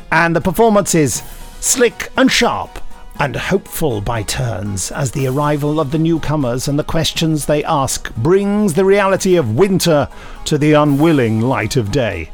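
A man's voice: a little high, animated, unhurried, soft background music. A man co-hosting a podcast, reading reviews aloud with playful, theatrical jokes. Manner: playful, jokey, theatrical when reading quotes. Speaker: podcast co-host.

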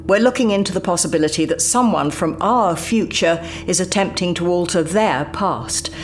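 An actress playing Romana, a composed female Time Lord leader who talks in a measured, dry way with frequent sighs. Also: fairly low pitch, slight background noise, natural voice. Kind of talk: audio drama acting.

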